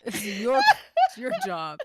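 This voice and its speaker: A woman's voice: mid-range voice, wildly swooping pitch, measured pace, very noisy. A woman co-hosting a casual fan discussion on a podcast after-show.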